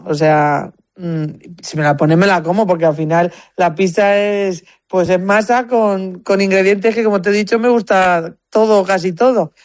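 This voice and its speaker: A woman's voice: mid-range voice, a little mellow, no echo, highs cut off. A woman with a Spanish accent from Spain, talking casually and anecdotally as an interview guest, often repeating words as she thinks. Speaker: competitive shooter, interview guest. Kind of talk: casual conversational interview answers.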